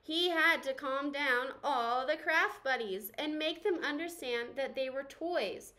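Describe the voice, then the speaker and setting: A young woman reading a children's storybook aloud in an expressive narrating style. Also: high-pitched, unhurried. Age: young adult.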